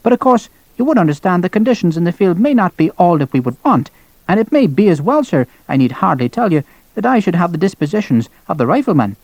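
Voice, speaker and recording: A man's voice: high-pitched, very animated, quick pace, a little mellow, dry recording, voice pushed up, full character voice, low-quality recording. A man voicing an amiable Irish army captain with a brogue and a charming, reasonable manner, in an audiobook. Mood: soothing, charming. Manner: charming, reasonable, matter-of-fact.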